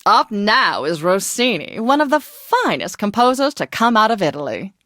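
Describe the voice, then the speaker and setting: An actress playing a posh, flamboyant, tipsy classical radio DJ in a video game, with a gushing, affected, genteel manner. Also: female voice, mid-range voice, very animated, moderate pace, bright, forceful tone, no room sound, studio quality. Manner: theatrical, affected, genteel and effusive.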